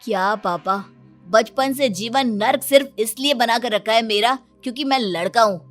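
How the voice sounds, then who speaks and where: A woman's voice: on the high side, normal speed, soft background music, close to an everyday voice. A woman voicing a put-upon, whining young adult son in an exaggerated comic story style. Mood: sarcastic, exasperated.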